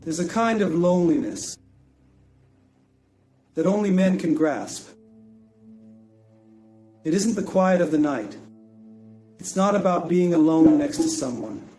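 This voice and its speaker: A man delivers spoken-word poetry in a soft-edged, mellow voice, a little higher than most. He is solemn and very unhurried, leaving weight in his pauses, with music underneath. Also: animated. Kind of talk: dramatic poetic monologue. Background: music behind the voice.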